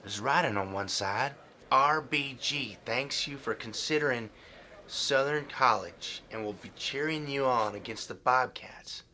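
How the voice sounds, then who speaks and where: Guy doing a polite sincere high school football kid, medium pitch, bright, audio drama. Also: unhurried.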